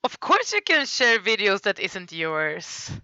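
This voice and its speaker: A woman with a Swedish accent chats her way through fan videos on a live stream, slow and unhurried, laughing and apologizing as she stumbles over names. Her voice is bright and forward. The dry, dull mic makes it sound like a lo-fi home setup. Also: medium pitch, very animated, smooth, dry recording. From Sweden. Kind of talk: casual live-stream commentary.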